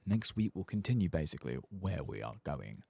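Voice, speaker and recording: A soft-spoken man giving casual, musing ASMR commentary as he plays a game. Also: low-pitched, sing-song, brisk, gravelly, slightly rough, no room sound. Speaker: gaming ASMR host.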